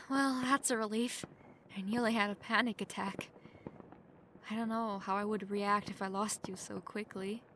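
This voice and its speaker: A young woman playing a sheltered, lonely princess who is playful, clingy and outspoken, in an expressive, chatty manner for an intimate roleplay audio drama. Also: medium pitch, moderate pace, lightly acted. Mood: apologetic, clingy. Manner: expressive, chatty, swinging from giddy to wistful. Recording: faint background noise, hobbyist home microphone.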